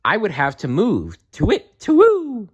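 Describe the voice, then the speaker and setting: A man's voice: high voice, wildly swooping pitch, slow, husky, voice pushed up, heavily acted. A man voicing a disapproving, fussy male owl in a children's storybook, with hooting.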